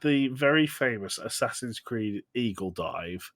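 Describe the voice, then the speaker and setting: A man's voice: medium pitch, animated, measured pace, fairly bright tone, no room sound. A man hosting a casual, comedic film chat in a joking, sarcastic way, given to exaggeration. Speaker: podcast host.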